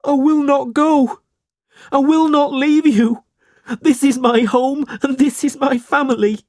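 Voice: high-pitched, fairly even intonation, measured pace, no echo, studio quality, pitched above the performer's own voice. A narrator voicing a frightened boy in a storybook, pleading and crying out emphatically. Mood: tearful, defiant. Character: frightened, pleading boy clinging to his protector.

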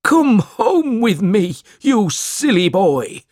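A narrator voicing a scheming man in a storybook who poses as a doting father, wheedling in drawn-out words. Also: medium pitch, very animated, a little mellow, no echo, studio quality, pitched above the performer's own voice. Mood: coaxing, scolding. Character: scheming man posing as a boy's long-lost father.

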